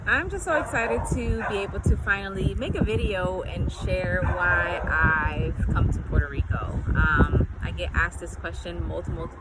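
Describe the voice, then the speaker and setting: A woman's voice: on the low side, normal speed, few pauses. A young woman from Connecticut vlogging casually on an apartment rooftop, upbeat and chatty with frequent ums. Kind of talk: casual vlog talk to camera. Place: outdoors on an apartment building roof. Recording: outdoor street noise, loud. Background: loud urban noise, traffic and animals.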